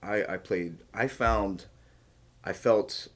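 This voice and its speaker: A man with an American accent hosts a casual podcast conversation. His voice is low-pitched. He speaks informally, moving between questions to his guest and personal stories of his own. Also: takes it slowly, a bit bright. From small-town rural United States. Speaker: podcast host, interviewer. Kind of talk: casual chat, conversational interviewing.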